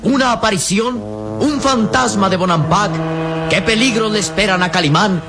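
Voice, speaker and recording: A man's voice: high-pitched, normal speed. Suspenseful radio serial narrator, man. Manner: suspenseful, declamatory. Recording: radio drama with loud music behind.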